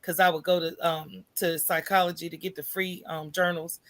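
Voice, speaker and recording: A woman's voice: fairly low pitch, level tone, moderate pace, fairly bright tone. An American woman telling her own story as an interview guest in an informal, candid way, often checking in with "right?". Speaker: podcast guest, entrepreneur. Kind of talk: conversational personal storytelling in an interview.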